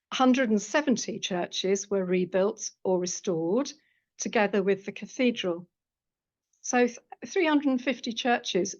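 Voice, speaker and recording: A woman historian reading out a prepared seminar paper in a measured way, with dry asides. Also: medium pitch, darkish tone, dull audio. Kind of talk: academic lecture read from a prepared paper. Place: lecture room at a college.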